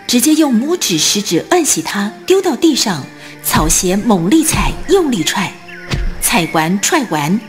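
A woman narrating a radio drama as scripted storytelling, with expressive, deliberate phrasing. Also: mid-range voice.

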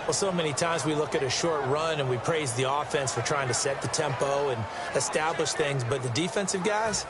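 Male actor as affable American TV football analyst, video game commentary, conversational explainer, high voice, very noisy stadium backdrop. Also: fairly quick, natural voice. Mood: analytical. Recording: loud stadium noise behind. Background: loud stadium ambience.

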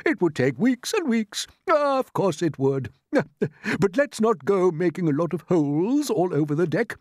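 A grand old male grasshopper from a storybook, acted out by a reader. He is affectionate and very polite, exclaiming in a lifted, animated voice with a dry, close sound. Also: medium pitch, normal speed, no room sound, pitched above the performer's own voice. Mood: delighted. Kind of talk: storybook character voice.

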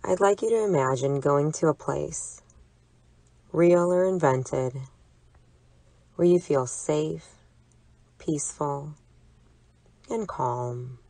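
Low-pitched woman, very slow guided meditation. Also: no room sound. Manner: soothing, deliberate, gently instructive. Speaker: psychologist leading a relaxation exercise. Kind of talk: guided imagery meditation narration.

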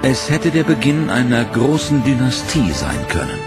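A man narrating a history documentary from a script, in a measured, dramatic way. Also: mid-range voice, very animated, loud music behind the voice.

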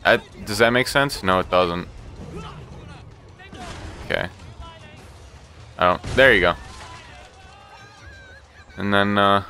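Guy doing a lets play, bright lowish voice, excitable and sweary, music playing behind him. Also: slow pace, long pauses. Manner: excitable, profane, talks to himself and the game. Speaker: gaming channel host. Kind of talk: casual let's play commentary.

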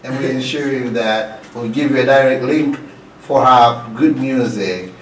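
A man with a Tanzanian accent hosts a relaxed, informal radio chat. His voice sits at a medium pitch. The room is echoey and he is a little off the mic, with faint noise behind him. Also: measured pace, recorded from a distance. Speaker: radio host. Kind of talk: casual interview, code-switching. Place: small indoor radio studio.

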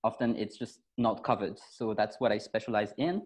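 A man in his thirties from France, a French native, chatting easily as a guest on a video call from a bright room at home. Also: fairly low pitch, normal speed. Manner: relaxed, talks with his hands. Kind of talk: casual interview chat.

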